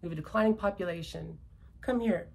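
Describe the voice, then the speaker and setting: Emphatic woman with a gravelly edge. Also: fairly low pitch, quick pace, darkish tone. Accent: British-descended Canadian. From Canada. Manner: emphatic, repetitive, ranting. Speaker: commentator. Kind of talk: casual political chat.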